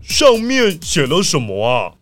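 A man's voice: fairly high pitch, huge pitch swings, measured pace, a bit bright, soft background music, heavily acted. A man playing an eager, chatty police squad leader in an audio drama, exclamatory and keen to please.

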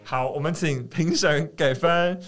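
A man with a Taiwanese Mandarin accent, co-hosting a casual podcast chat, jokey, mock-dramatic and quick to laugh. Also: fairly high pitch, a bit bright, faint music behind. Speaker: podcast co-host.

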